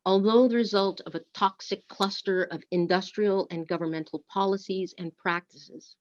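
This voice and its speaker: A woman reading a prepared script aloud as a service leader, with deliberate, measured delivery. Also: medium pitch, steady tone. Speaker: worship service co-leader and reader. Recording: dull, lo-fi muffled sound.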